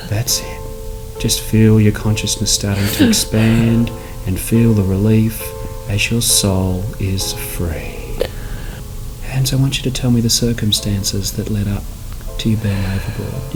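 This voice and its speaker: A man's voice: on the low side, steady tone, unhurried, music in the background, rough recording. A man leading a guided hypnosis session as a therapist, coaxing soothingly and repeating answers back.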